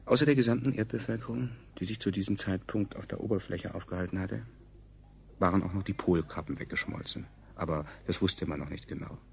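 An actor playing a detached narrator in a science fiction radio play, speaking in a dry, ironic, wordy way. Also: male voice, deep voice, a little fast, gravelly. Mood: detached irony. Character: detached, ironic male narrator recounting a catastrophe. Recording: faint noise, 1970s radio production.

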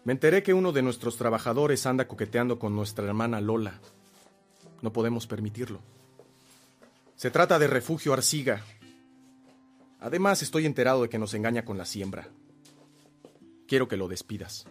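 An actor playing a stern, domineering older brother who owns a farm, curt and authoritative, in a radio drama. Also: male voice, mid-range voice, lively intonation, normal speed, pauses often, faint music behind, natural voice. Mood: stern, commanding.

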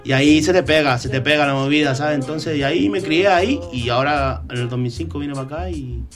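A young man with a Chilean Spanish accent talking casually in a radio interview, relaxed and peppering his talk with "¿sabes?". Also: fairly high pitch, fairly quick. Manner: relaxed, repeats "¿sabes?" often. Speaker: guest rapper. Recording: radio studio with loud music bed.

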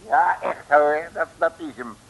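An actor playing a brash, bossy, street-smart detective in a 1950s Dutch radio serial, full of slangy bluster. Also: male voice, a little high, measured pace, creaky, breathy edge, exaggerated voice. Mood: knowing. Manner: slangy, blustering, addresses people as "broer". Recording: hissy old radio recording.

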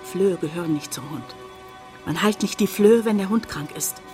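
An actress playing a bitter, pleading village hotel owner in a German radio drama, intense and reproachful. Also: female voice, fairly low pitch, normal speed, music in the background, natural voice.

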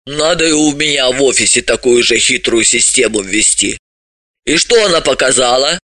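A man's voice: mid-range voice, animated, moderate pace, bright, forceful tone, no room sound. A man voicing a good-natured woman company boss who is curious and easily surprised, in audiobook dialogue. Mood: amused. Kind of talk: audiobook character voice.

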